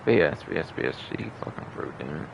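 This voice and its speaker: A man with a gravelly voice giving rambling, repetitive game commentary as a livestreamer. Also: very deep voice, expressive, quick pace, slight background noise, poor audio. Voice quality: gravelly, creaky. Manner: repetitive, circling back over phrases.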